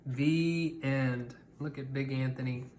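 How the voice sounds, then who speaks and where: A woman's voice: rumbling low, steady tone, takes it slowly, darkish tone. A woman storyteller reading a picture book aloud expressively, talking directly to young listeners.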